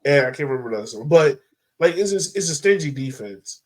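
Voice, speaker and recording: A man co-hosting a podcast, chatting casually about sport in slangy, animated talk. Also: a little high, fast. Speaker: podcast co-host.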